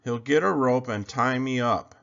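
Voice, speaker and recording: A man's voice: mid-range voice, moderate pace, creaky, a bit bright, highs cut off, voice pushed up. A man voicing a timid but imaginative young boy in a storybook, speaking earnestly and plainly. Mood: worried.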